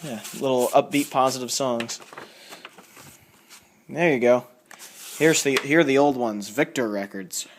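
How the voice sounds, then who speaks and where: A man talks in a medium-pitched voice, casually and without a script. He rambles a little, thinking aloud and reading labels out as a collector showing off finds would. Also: unhurried, pauses often, slight background noise. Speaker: record collector showing a haul. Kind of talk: casual unscripted show-and-tell commentary.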